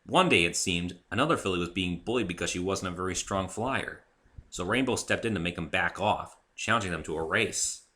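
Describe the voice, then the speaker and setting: Expressive, very bright-voiced man narrating. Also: low-pitched, brisk. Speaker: narrator, author reading own story. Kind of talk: dramatic reading, scripted first-person narration.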